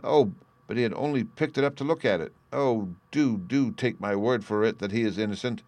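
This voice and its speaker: Man voicing an earnest young Victorian gentlewoman, pleading. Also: lowish voice, a little fast, no room sound, lightly acted.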